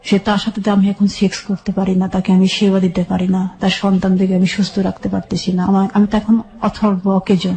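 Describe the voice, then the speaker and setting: Middle-aged Bangladeshi woman, mellow and level in pitch, giving sombre personal testimony as a radio guest. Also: little pitch movement, brisk, hardly any pauses, slight background noise, poor audio. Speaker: guest telling her life story.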